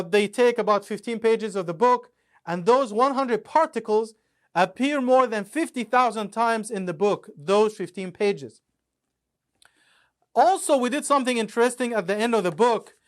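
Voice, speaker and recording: Man patiently explaining his dictionary. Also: sits high. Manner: patient, step-by-step explaining with examples. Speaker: author and imam presenting his Quran dictionary. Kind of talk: explanatory talk about a book.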